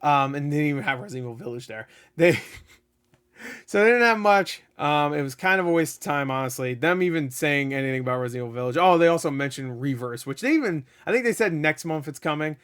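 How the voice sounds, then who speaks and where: Excitable man rambling a casual review. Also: on the high side, brisk, smooth. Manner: excitable, rambling, laughs often, swears. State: voice getting raspy. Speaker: gaming video host.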